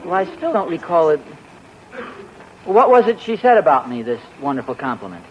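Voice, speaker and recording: A man's voice: fairly high pitch, very animated, brisk. An actor playing a husband who teases his wife with a boastful story in a 1940s radio sitcom. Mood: teasing. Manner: teasing, playfully boastful storyteller. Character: teasing husband spinning a boastful tale. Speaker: sitcom lead. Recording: muffled 1940s radio broadcast with hiss.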